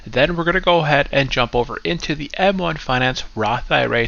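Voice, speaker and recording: A man's voice: medium pitch, sharp tone, lo-fi sound. A man hosting a casual investing vlog, a little stuffy and congested as he walks through his portfolio in an upbeat way. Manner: upbeat, keeps saying "go ahead". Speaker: investing channel host. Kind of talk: casual finance vlog walkthrough.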